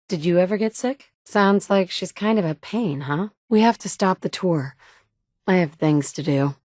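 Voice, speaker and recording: A woman's voice: on the low side, a little mellow, no room sound, clean studio sound. An actress voicing a confident, wry female survivor in a video game, delivering blunt, self-assured lines. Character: confident, wry adult woman wasteland survivor. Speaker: player character in a video game.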